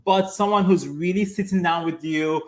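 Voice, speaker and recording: Man, fairly high voice, enthusiastic host, affirming, video call. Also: moderate pace. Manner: warm-spoken, enthusiastic, affirming interviewer. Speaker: physician host of a weekly live show. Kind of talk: casual live interview.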